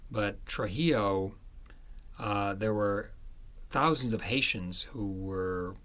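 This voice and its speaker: A man speaking as an author in a conversational interview about his book, halting as he searches for words. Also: on the low side, fairly bright tone.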